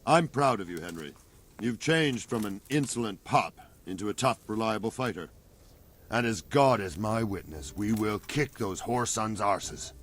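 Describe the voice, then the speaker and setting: An actor playing a blunt, gruff middle-aged veteran soldier commander in a video game cutscene, speaking in plain earthy soldier's talk. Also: male voice, lowish voice, lively intonation, unhurried, fairly bright tone. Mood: gruff encouragement.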